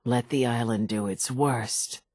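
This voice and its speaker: An actress playing a vengeful old island widow in a video game, telling grim tales in a raspy, folksy, theatrical way. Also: female voice, very deep voice, steady tone, unhurried, darkish tone, dry recording, studio quality. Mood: defiant. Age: older. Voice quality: raspy, gravelly. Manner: folksy, dramatic storytelling drawl. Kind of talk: video game character dialogue.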